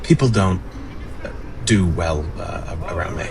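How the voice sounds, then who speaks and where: A man's voice: deep voice, normal speed, creaky, noisy background. A man acting a broken, anxious outcast in a script reading, stammering and apologetic as he talks. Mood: halting, withdrawn. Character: broken, anxious homeless man, halting and withdrawn.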